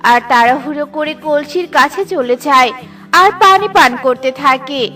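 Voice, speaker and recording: A woman's voice: high-pitched, moderate pace, sharp tone. A woman narrating a children's cartoon story in a simple storytelling way. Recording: children's cartoon with music behind.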